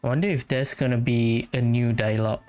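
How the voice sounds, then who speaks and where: A man giving casual, low-key commentary while playing a video game, murmuring short reactions. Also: fairly even intonation, fairly quick, darkish tone, clear, resonant tone. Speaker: gaming streamer. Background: game music.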